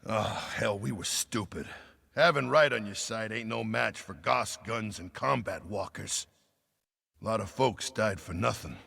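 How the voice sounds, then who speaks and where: A weathered, bitter working man from a sci-fi game. He talks roughly and plainly in a low, raspy, gravelly voice. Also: unhurried, bright, forceful tone, full character voice. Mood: bitter, reflective. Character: bitter, weathered working-class male miner and ex-rebel. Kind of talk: video game cutscene acting.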